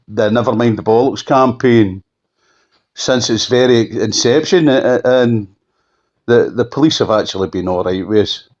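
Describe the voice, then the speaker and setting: A Scottish man giving an unscripted telephone interview, halting and often repeating himself for emphasis. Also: lowish voice, a little mellow. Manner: halting, repetitive, emphatic restatements. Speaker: campaigner being interviewed. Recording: dull lo-fi phone call.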